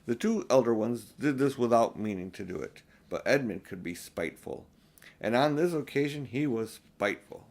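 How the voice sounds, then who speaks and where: A man's voice: medium pitch, lively intonation, normal speed, darkish tone. An older man narrating a children's storybook expressively as an audiobook reader.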